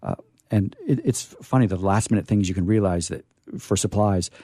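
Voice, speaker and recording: A man's voice: low-pitched, moderate pace, dark tone, no room sound, clean studio sound. A man hosting a radio show with chatty, rambling talk and a playfully theatrical manner.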